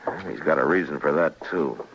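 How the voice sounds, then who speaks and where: An actor playing a gruff, weary, wary frontier marshal in a 1950s radio western. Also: male voice, extremely low pitch, brisk, a bit bright, full character voice. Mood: brooding, wary. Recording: dull, noisy old radio recording.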